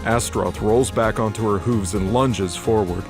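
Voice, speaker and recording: A man's voice: fairly low pitch, moderate pace, over music. A man reading scripted, dramatic narration as the narrator of a fantasy audio drama.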